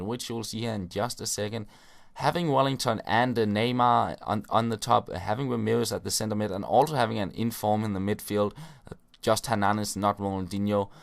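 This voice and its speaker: A man hosting a gaming video, giving casual play-by-play commentary on his own matches with frequent filler words. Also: fairly low pitch.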